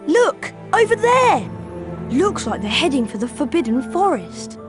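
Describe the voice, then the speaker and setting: A brave young schoolboy from a fantasy game. The voice is very high and animated, earnest and urgent. Music plays clearly behind. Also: female voice, unhurried.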